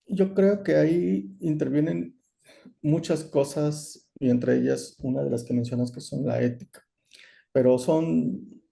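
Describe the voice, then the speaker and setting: A man giving an online lecture over a video call, explaining in a measured way with frequent "eh" and "¿no?". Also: medium pitch, mellow. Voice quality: gravelly. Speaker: course lecturer.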